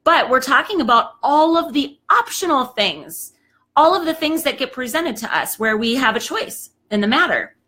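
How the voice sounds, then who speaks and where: A woman in her thirties or forties hosting a live show, giving a chatty, emphatic motivational talk and often saying "okay", her voice a little hoarse. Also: sits high, animated, moderate pace, bright, forceful tone. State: slightly hoarse, clearing throat.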